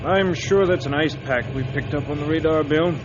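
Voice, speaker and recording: Man as brisk navy commander, helpful, businesslike, moderately high voice, 1950s radio acting, noisy dull sound. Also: lightly acted. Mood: confident, businesslike. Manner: businesslike, confident. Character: brisk, helpful male naval destroyer commander.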